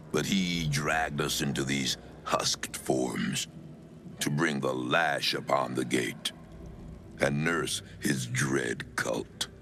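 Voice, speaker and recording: An actor playing a grim, murderous undead cult elder in a fantasy game, with solemn, archaic pronouncements. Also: male voice, a bit bright, a little hiss or hum, exaggerated voice. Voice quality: very deep, gravelly. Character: dread undead male cultist elder, grim, murderous zealot. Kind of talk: dramatic fantasy game acting. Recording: music behind the voice.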